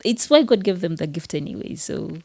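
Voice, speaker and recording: Ugandan female host, measured and reflective, dark mellow tone. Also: fairly low pitch, very animated, creaky, breathy edge. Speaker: interview host. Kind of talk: conversational interview.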